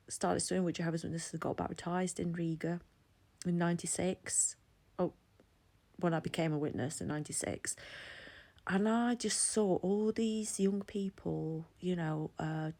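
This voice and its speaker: A woman's voice: fairly low pitch, warm tone. A woman in her forties from Latvia, talking candidly to camera in a chatty, personal vlog. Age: 40s. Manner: chatty, candid, laughs between thoughts. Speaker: vlogger. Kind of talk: casual personal commentary.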